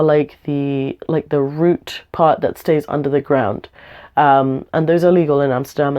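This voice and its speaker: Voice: mid-range voice, a little mellow. Young adult vlogger, fighting off the flu, rambling and chatty with filler words and little laughs. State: ill with flu, voice a bit off. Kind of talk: casual storytelling to camera.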